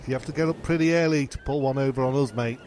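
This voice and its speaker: A man's voice: mid-range voice, quick pace, a little mellow, slight background noise, lightly acted. A man playing a cocky, matey castaway sidekick in a comic radio play, cheeky and easily convinced.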